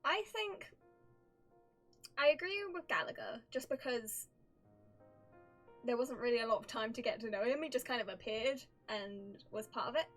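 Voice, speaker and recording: A woman's voice: on the high side, animated, moderate pace, pauses often. A woman livestreamer giving casual, chatty game reaction commentary, thinking aloud as she goes. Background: soft game music.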